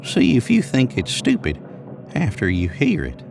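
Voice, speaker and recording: Man voicing a creaky old folksy cowboy storyteller. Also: mid-range voice, wildly swooping pitch, a little slow, music in the background, exaggerated voice. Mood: ominous, musing. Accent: American. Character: older rambling cowboy spinning a spooky trail tale. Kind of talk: audio horror story character reading.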